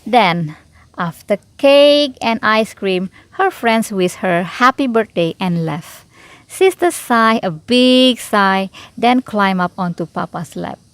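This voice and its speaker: A woman reading a children's storybook aloud in an expressive, unhurried way, with little asides to the listener. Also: mid-range voice, no echo. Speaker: storybook reader.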